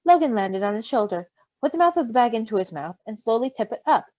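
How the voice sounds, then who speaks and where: Woman reading storytime, high lively voice, bit muffled, giggly comments. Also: fast, no echo. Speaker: story reader. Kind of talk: animated storytime narration with casual asides. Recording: slightly dull, close dry recording.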